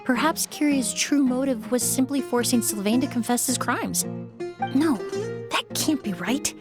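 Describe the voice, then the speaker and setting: A woman playing an earnest, sharp-minded young female investigator in a video game, reasoning things through deliberately. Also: high voice, music in the background, close to an everyday voice. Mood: pensive. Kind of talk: video game character acting.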